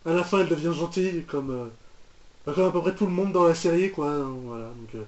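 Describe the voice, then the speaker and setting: A man's voice: a little high, fairly quick, a little mellow. A man giving casual, rambling, mock-exasperated commentary as he reacts to a cartoon, often repeating himself. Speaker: reaction video host. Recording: roomy, dull lo-fi microphone sound.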